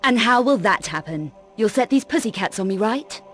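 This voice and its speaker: A woman's voice: a little high, lively intonation, moderate pace, soft background music, natural voice. Woman acting a sardonic, tough adventurer heroine. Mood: angry, defiant. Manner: sardonic, curt, defiant. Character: brash, cool adventurer heroine, tough and sarcastic. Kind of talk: video game cut-scene acting.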